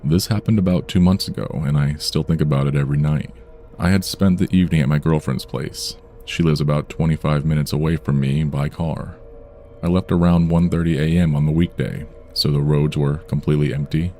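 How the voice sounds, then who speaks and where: Man, very deep voice, mellow dark tone, somber measured horror narration. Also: fairly even intonation, soft background music. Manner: measured, somber storytelling delivery. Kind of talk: scripted true-crime horror narration.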